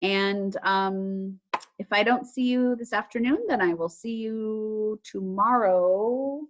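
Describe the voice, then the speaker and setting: A woman's voice: mid-range voice, slow, a little hiss or hum. A woman speaking as an elementary teacher, reading aloud and talking casually to her pupils in an online class. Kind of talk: read-aloud storytelling and casual announcements to pupils. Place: remote online class session.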